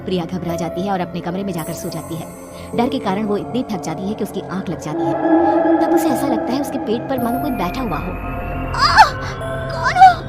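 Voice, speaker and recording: A woman narrating a spooky cartoon bedtime story in a dramatic, suspenseful way. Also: on the low side.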